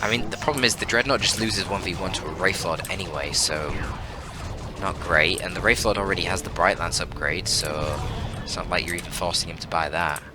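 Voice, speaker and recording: A man's voice: low voice, fairly even intonation, fairly quick, over music. A man giving live strategy game commentary in a candid, analytical, casually sweary way. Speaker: esports match caster.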